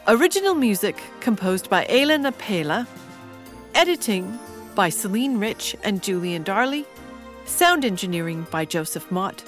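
A woman's voice: mid-range voice, big pitch swings, moderate pace. A woman announcer reading scripted credits for an audio drama in a narrating style. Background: music.

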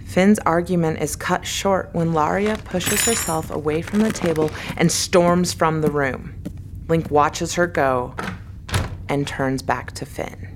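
An actress playing a wistful, solemn narrator, telling a story in measured, emotive scripted narration. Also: female voice, low-pitched, background noise.

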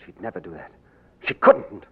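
An actor in a 1940s radio drama playing an English gentleman who poses as a king, with a light, wry delivery. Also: normal speed, natural voice. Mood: anxious. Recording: tinny, noisy old radio broadcast.

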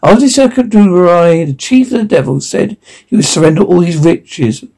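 A man reads a fairy tale aloud with a husky, creaky voice. His tone is soft and dark, set a little higher than most men's. The delivery is halting, with stumbles over words. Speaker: story reader.

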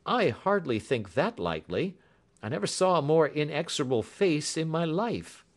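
A man's voice: fairly high pitch, sing-song, normal speed, voice pushed up. A man voicing an earnest, shaken young engineer in an audiobook. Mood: grim. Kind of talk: audiobook character acting.

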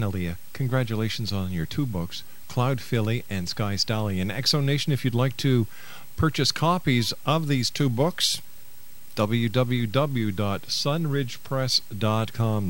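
A man's voice: mid-range voice, slow. A middle-aged man hosting a radio show, speaking as an interviewer.

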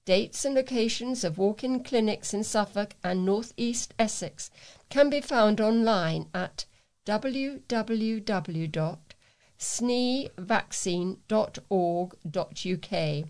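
A woman's voice: mid-range voice, a little mellow. A woman reading local news as a volunteer newsreader, in a careful manner.

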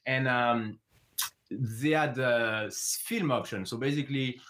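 A man's voice: mid-range voice, a little slow, a bit bright. A man from the south of France with a French accent, a native French speaker giving casual interview answers as a filmmaker guest.

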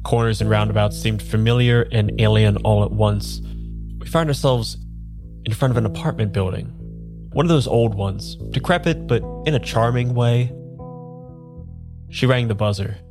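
A man playing an awkward, unsure amnesiac in an improvised audio drama, halting in dialogue and reflective as he narrates. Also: lowish voice, natural voice. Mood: reflective, puzzled. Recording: music behind the voice.

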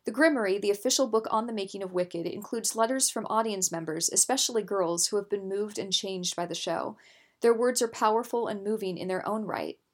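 A woman narrating scripted nonfiction as an audiobook reader, in a measured, articulate way. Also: mid-range voice.

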